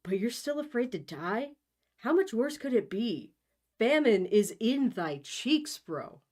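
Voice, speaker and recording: A woman's voice: on the high side, big pitch swings, a little slow, darkish tone, pitched above the performer's own voice. A woman voicing a dramatic, pushy young lovestruck hero in a comic storybook reading, with slangy, bossy delivery. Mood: goading. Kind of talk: comic storybook read-aloud.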